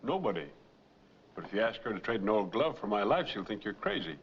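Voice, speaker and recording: A man's voice: on the low side, very animated, a little fast, slight background noise. A man playing an earnest, determined framed man in a 1940s crime film, speaking in a clipped, plain way. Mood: dry, defiant. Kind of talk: 1940s film noir acting. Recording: dull vintage film soundtrack, music behind.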